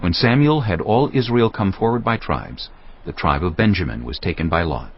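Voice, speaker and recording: Very deep, husky male scripture narrator. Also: expressive, normal speed. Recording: slightly muffled studio sound.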